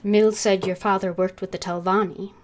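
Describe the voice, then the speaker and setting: A woman livestreaming a game, chatting casually and thinking aloud in a halting way. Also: mid-range voice, level tone, a little mellow. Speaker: game streamer.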